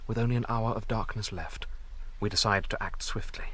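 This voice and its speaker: A man's voice: fairly low pitch, steady tone, a little fast, a bit bright, breathy edge. A man acting in a radio drama as an earnest, devoted army officer, urgent both in dialogue and in first-person narration.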